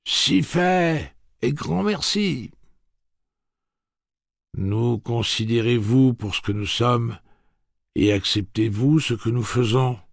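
A man voicing a crowd of grateful villagers, crying out in drawn-out, chanting exclamations. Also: medium pitch, gravelly, dry recording, clean studio sound, voice pushed up. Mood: fervent.

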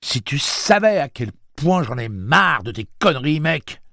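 A man voicing a panicky, quick-tempered young man who talks in a shrill, frantic way. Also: expressive, darkish tone, no echo, studio quality, lightly acted. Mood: angry.

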